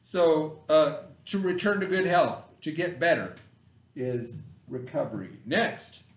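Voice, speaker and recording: A man's voice: a little high, slow. A middle-aged man teaching a remote lesson to children, explaining simply, repeating key terms and checking understanding with short tags. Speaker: elementary school teacher. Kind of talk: remote read-aloud vocabulary lesson for children. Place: classroom, indoors.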